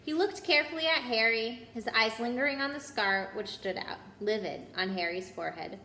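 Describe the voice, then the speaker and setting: High-voiced woman reading in an echoey room. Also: fairly quick, bright, forceful tone. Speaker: storyteller reading aloud. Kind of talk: expressive children's book narration. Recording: roomy echo with background noise.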